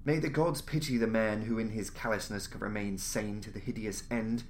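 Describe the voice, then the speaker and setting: A man's voice: on the low side, steady tone, moderate pace, natural voice. Guy doing a mad submarine sailor in a horror story, feverish urgent pleading, bit of background noise. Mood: frenzied, defiant. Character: crazed, fervent German submarine sailor gone mad.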